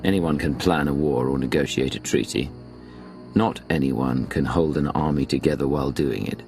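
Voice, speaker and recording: An actor playing a composed imperial agent in a video game, measured and businesslike, with a gravelly voice. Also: male voice, very deep voice, darkish tone, faint music behind. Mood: cool, assured. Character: composed male imperial agent, outsider field commander. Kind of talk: dramatic video game dialogue.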